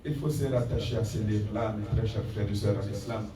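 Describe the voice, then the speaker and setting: A man's voice: fairly low pitch, fairly even intonation, fairly quick, crowd noise. A man, an imam, preaching a Friday sermon with rhetorical questions, mixing in recited Arabic verses. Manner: exhorting, rhetorical questions, rising emphasis. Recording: echoey hall from far off.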